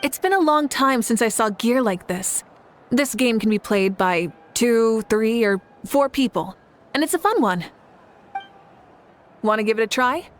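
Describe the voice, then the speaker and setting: Confident, animated warrior champion. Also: female voice, fairly high pitch, unhurried, a little hiss or hum. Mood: inviting. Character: confident adult woman warrior champion, fiery and good-humoured. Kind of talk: video game character acting.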